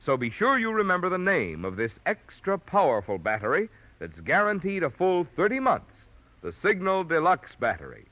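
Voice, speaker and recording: A man's voice: high voice, huge pitch swings, normal speed, dry recording. A man with an American accent reading a scripted sponsor message as a polished, emphatic 1940s radio announcer. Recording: muffled old radio broadcast recording.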